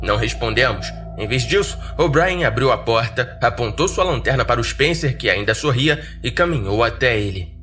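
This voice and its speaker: A man's voice: medium pitch, lively intonation, normal speed, hardly any pauses, a bit bright. A Brazilian man narrating a scripted horror story in the first person as a podcast narrator. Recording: roomy echo, faint music behind.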